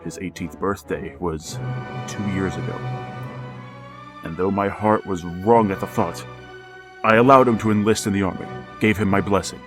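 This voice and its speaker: An actor playing a lonely, embittered widowed father who speaks in a measured, formal, brooding way. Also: sits low, fairly even intonation, over music, close to an everyday voice. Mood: grave. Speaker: narrator of the story. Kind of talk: 1940s radio drama narration.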